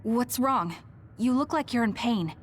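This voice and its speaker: A woman's voice: on the high side, lively intonation, measured pace, a little hiss or hum. An actress playing an earnest, protective young female soldier in a video game. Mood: concerned. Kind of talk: English video game voice acting.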